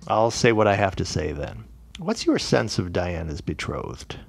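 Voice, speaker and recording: A man playing an earnest, courteous but suspicious newspaperman in a period audio drama, speaking deliberately. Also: deep voice, big pitch swings, vocal fry, exaggerated voice.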